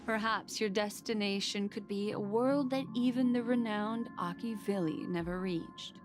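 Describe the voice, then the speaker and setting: Slow, enigmatic woman acting as a memory keeper. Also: medium pitch, lightly acted. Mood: mysterious, solemn. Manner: measured, mysterious, softly condescending. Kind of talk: English game voice acting. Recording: voice over loud game music.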